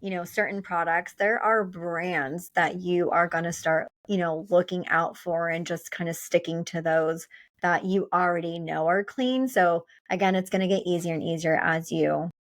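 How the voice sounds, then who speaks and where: A woman's voice: on the low side, steady tone, normal speed. A woman co-hosting a health show as a nurse, giving advice in an informal, conversational way. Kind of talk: casual health advice chat.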